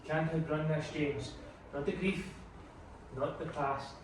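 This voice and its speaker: Man acting a grieving brother, higher-pitched, echoey. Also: fairly even intonation, unhurried, close to an everyday voice. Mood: sorrowful. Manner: sorrowful, accusing. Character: grief-stricken, accusing brother in his late thirties. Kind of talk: dramatic table-read acting. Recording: echoey room, distant mic, faint noise.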